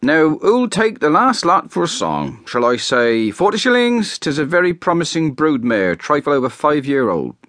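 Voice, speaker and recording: A man's voice: a little high, sing-song, normal speed, few pauses, fairly bright tone, no room sound, clean studio sound, pitched above the performer's own voice. A man voicing a rustic male auctioneer calling out bids to a crowd at a fair. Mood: hawking.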